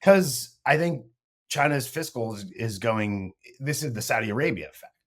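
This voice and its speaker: A man co-hosting a casual market discussion, animated and full of trailing restarts. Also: medium pitch, normal speed. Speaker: podcast co-host.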